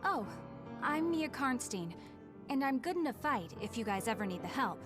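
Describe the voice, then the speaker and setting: A woman's voice: a little high, sing-song, moderate pace, over music. An actress playing a polite, earnest and determined young woman fighter in a video game, speaking sincerely. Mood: polite, resolved. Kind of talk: English video game dub acting.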